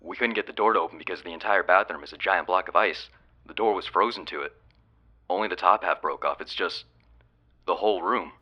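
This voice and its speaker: A man playing a gruff, wisecracking police lieutenant leading a search in an audio drama. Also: low voice, a little fast, highs cut off. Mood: stunned. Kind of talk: radio-call audio drama acting.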